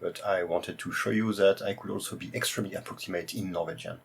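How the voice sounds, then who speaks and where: Gravelly French-accented man, halting delivery. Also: sits low, steady tone. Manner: hesitant, heavy use of "uh" fillers. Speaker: retro computing video host. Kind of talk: casual show-and-tell presentation.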